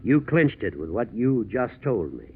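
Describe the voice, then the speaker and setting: An actor playing a stern, unyielding American prosecutor in a 1940s radio drama, speaking in a firm, accusing, deliberate manner. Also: male voice, medium pitch, sing-song, breathy edge, soft background music. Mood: accusing, skeptical. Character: stern, unyielding male prosecutor pressing a witness. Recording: tinny, faintly noisy 1940s radio recording.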